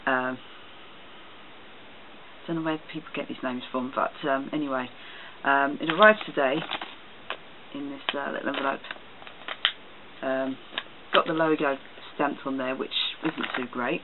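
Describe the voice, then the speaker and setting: Guy doing a casual product vlog, kinda halting, thin phone-like audio with clear noise. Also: medium pitch, steady tone. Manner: hesitant, halting delivery. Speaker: product reviewer. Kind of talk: casual show-and-tell vlog. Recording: tinny phone-like sound, loud noise, clicks.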